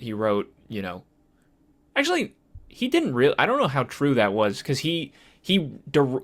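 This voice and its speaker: A man co-hosting a casual movie and game podcast, hesitant as he thinks aloud. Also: medium pitch. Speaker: podcast co-host.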